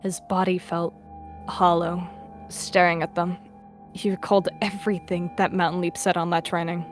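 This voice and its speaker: A woman reading roleplay narration aloud in a soft, hesitant way, with faint music behind. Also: on the low side, level tone, normal speed.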